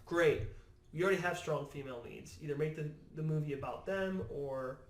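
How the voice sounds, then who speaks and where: A fairly high-voiced man gives his opinions in casual conversation, recorded in an echoey room. He is emphatic, repeats words to make his point and acts out imagined remarks. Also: a little fast. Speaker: podcast guest. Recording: roomy, echoing room.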